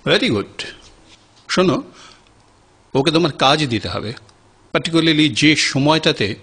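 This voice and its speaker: A man acts a kindly senior doctor in an audio drama. His husky, slightly high voice moves expressively as he advises with measured authority, slipping in English phrases. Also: a bit bright, full character voice. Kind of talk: Bengali audio drama acting.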